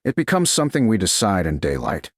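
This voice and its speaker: A man playing a calm, gentle duke. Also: lowish voice, a little fast, no echo, studio quality. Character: calm, restrained nobleman, a duke.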